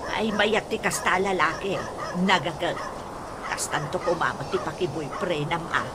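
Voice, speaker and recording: A woman's voice: on the low side, moderate pace, very noisy, close to an everyday voice. An actress playing a chatty, exclamatory village woman in an Ilocano radio comedy drama. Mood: chatty, teasing. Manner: exclamatory, expressive.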